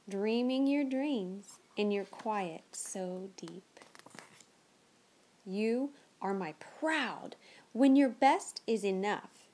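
Animated woman reading to young children. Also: mid-range voice. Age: 30s. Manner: animated, exaggerated expression for kids. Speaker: children's read-aloud show host. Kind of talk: presenting to young children, picture-book reading.

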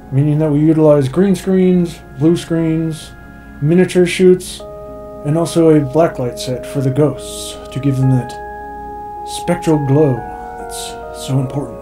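A man who directs films, giving behind-the-scenes commentary with dry, wry asides. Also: a little high. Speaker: film director. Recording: faint music underneath.

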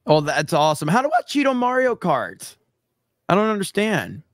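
A man in his thirties hosting a casual livestream, his voice worn hoarse from talking so much, telling stories with lots of laughs and restarts. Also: high voice, moderate pace, dry recording, clean studio sound. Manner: animated storyteller, laughs often, rambling restarts. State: losing his voice. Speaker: show host. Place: home streaming room with purple lights. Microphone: studio mic on arm, webcam.

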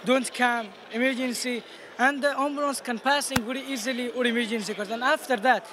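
A teenage male student with an Afghan accent explaining his project in an interview in halting non-native English. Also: very high voice, steady tone. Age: teens. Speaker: student competition participant. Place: busy exhibition booth indoors. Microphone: handheld interviewer microphone. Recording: noisy event hall background.

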